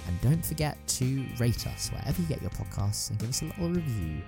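A man co-hosting a podcast in a casual, keen, slightly halting way. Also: medium pitch, big pitch swings, creaky, music in the background. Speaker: podcast co-host. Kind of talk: casual chat.

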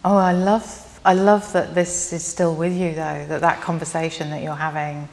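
A woman with a low voice and very little rise and fall talks as an interviewer in a reverberant room. She is reflective and conversational, rephrasing as she thinks aloud. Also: little pitch movement, normal speed, darkish tone. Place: reverberant indoor library room.

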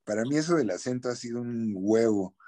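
A man's voice: mid-range voice, lively intonation, fairly quick, dark tone. A middle-aged Mexican actor in a casual interview, speaking candidly with slang and repeating words as he searches for what to say.

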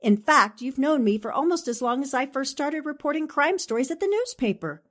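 Woman acting animated, commanding newspaperwoman sleuth. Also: sits high, brisk. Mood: firm, persuasive. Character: brisk, sharp, determined newspaperwoman sleuth. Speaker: actor in an amateur radio drama re-creation. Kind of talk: 1940s radio acting.

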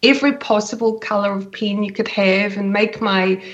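A woman's voice: medium pitch, flat delivery, normal speed. Swiss accent lady chatting as a podcast guest, kinda muffled lo-fi audio. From Switzerland. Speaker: interview guest. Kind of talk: casual interview chat.

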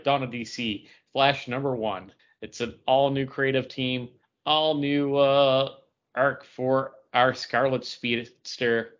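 A man's voice: medium pitch, a bit bright. A man co-hosting a casual podcast about pop culture, talking in a rambling way and often interrupting himself. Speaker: podcast co-host. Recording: dull lo-fi audio.

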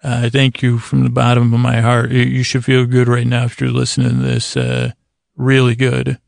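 A man hosting a bedtime podcast in a halting, meandering monologue, repeating himself, with a gravelly voice close to the microphone. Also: mid-range voice, completely flat delivery, dry recording. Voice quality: gravelly, creaky. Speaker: podcast host. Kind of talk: rambling bedtime monologue to help listeners sleep.

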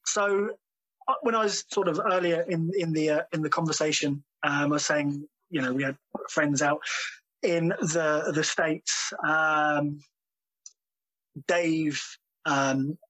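A man, a drummer being interviewed, talking casually with many ums and pauses. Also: on the high side, steady tone, a bit bright, low-quality recording. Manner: hesitant, full of ums, laughs easily.

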